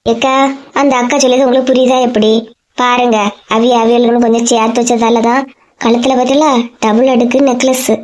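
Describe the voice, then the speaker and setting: A woman's voice: on the high side, level tone, brisk, a little mellow, lightly acted. A woman voicing a blunt, gossipy, easily irritated neighbour in a comic cartoon, with a Kanyakumari Tamil accent. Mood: nosy, concerned.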